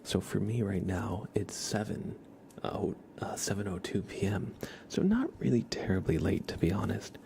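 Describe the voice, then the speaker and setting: An American man hosting an ASMR livestream, speaking in a soft, breathy whisper with an unhurried, gently reassuring manner. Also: lowish voice, slight background noise. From East Coast of the United States, near New York. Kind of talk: whispered ASMR, casual livestream chat and card reading.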